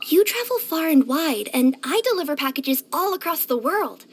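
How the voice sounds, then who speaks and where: Super high pitched cute cat girl courier for a video game, eager n cheery, really animated. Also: normal speed. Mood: warm, chatty. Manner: expressive, cutesy, with gasps and hesitant murmurs. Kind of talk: scripted video game character voice lines.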